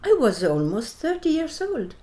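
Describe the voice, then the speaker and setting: An older woman from Sweden, a native Latvian speaker, answering interview questions reflectively in halting English as she searches for words. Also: fairly high pitch, huge pitch swings, warm tone. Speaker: interview guest.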